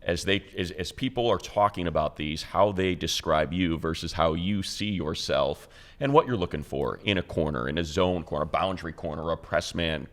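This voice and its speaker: A man's voice: sits low, moderate pace, a bit bright. An American man co-hosting a sports show, asking long, rambling questions in a conversational way.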